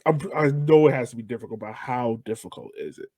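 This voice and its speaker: A man's voice: mid-range voice, fast talker, mellow, dry recording, studio quality. A man with an American accent hosting a casual interview, informal and slangy, often repeating his phrases. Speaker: podcast host.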